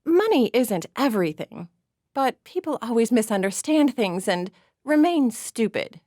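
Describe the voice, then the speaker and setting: A woman voicing a poised young society woman who speaks in a sweet, deliberate, cultured way. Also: on the high side, very animated, darkish tone, no room sound, clean studio sound, pitched above the performer's own voice.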